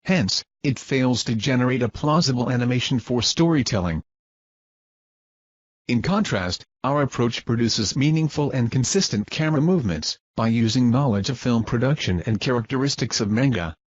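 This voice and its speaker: A man reads a scripted research narration in a formal, measured manner, like a presenter explaining a technical method. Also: medium pitch, expressive, no room sound, dull audio.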